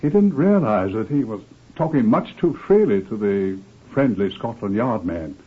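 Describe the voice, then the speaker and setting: A man's voice: lowish voice, lively intonation, moderate pace, breathy edge. A man narrating a scripted crime mystery in the manner of old-time radio drama. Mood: measured, grave. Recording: muffled, band-limited vintage radio recording.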